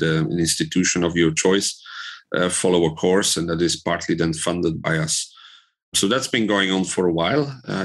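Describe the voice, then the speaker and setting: A man in his fifties from Belgium answering in a conversational podcast interview, rephrasing as he goes. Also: low-pitched. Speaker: interview guest, trade association director.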